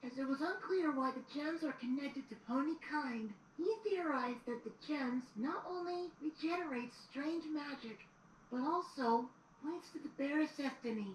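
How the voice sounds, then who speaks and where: Woman voicing a high-pitched young boy dragon. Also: normal speed, natural voice. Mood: earnest storytelling. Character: young boy dragon assistant reading history aloud. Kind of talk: audio drama storybook narration. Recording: roomy, distant, noisy replayed audio.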